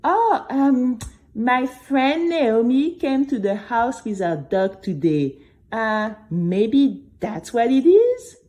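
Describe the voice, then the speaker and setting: A woman's voice: a little high, sing-song, takes it slowly, warm tone, voice pushed up. A woman voicing a nervous, dreamy young girl who tells her own story with dramatic, chatty asides. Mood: nervous fibbing. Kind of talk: scripted first-person storytelling for children.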